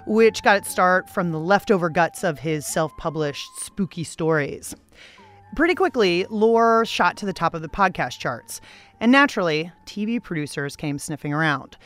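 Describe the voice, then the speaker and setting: A woman hosting and interviewing on a radio show in an informal, playful way. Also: on the low side.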